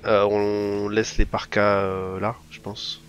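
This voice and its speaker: A man's voice: on the low side, monotone, takes it slowly, crowd noise. A man playing a character in a tabletop game, talking casually with stammered restarts and slang. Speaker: player.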